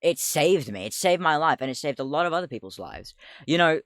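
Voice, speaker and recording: A man in his twenties with a deep voice, chatting casually on a livestream, rambling, laughing often, swearing and getting sidetracked. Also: animated, a little fast, a bit bright, no echo, studio quality. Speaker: streamer.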